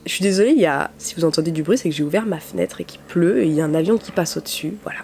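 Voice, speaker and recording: A woman's voice: deep voice, expressive, very fast, darkish tone, a little hiss or hum. Young youtuber girl with a cold, nasal and stuffy, chatty with lots of euhs, talking at home. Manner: chatty, many "euh" fillers. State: has a cold, speaks through her nose. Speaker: YouTuber, content creator. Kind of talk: casual talk to camera. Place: at home, window open, rain outside.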